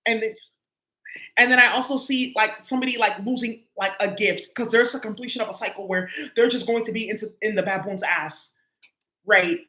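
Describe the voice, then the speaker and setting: Casting a woman tarot reader, quick and sharp-toned, slangy and profane, recorded in an echoey room. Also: medium pitch. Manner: loose, profane, heavy with filler words. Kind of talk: casual tarot reading to viewers.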